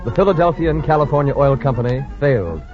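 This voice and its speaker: A man's voice: medium pitch, lively intonation, fast talker. A man giving formal, storytelling narration for a 1940s radio historical drama. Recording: muffled vintage radio recording. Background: faint music.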